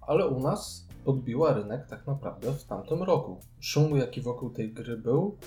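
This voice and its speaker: A man's voice: mid-range voice, lively intonation, moderate pace, warm tone, faint music behind. A man chatting casually about board games as a podcast co-host. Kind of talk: casual board game discussion.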